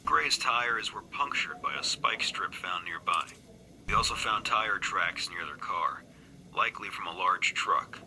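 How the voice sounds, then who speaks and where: An actor playing a grim, haunted police investigator, giving a grave, measured case briefing in a video game. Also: male voice, fairly low pitch, sharp tone, a little hiss or hum, exaggerated voice, poor audio. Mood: grave, factual.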